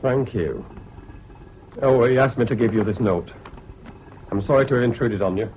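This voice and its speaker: A man's voice: medium pitch, moderate pace, natural voice. A man acting a stiff, worried college man in an old radio play. He speaks formally and urgently. The recording is dull and faintly noisy. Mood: polite, stiff. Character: Laidlaw, anxious, formal Cambridge acquaintance. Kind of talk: 1970s British radio drama acting.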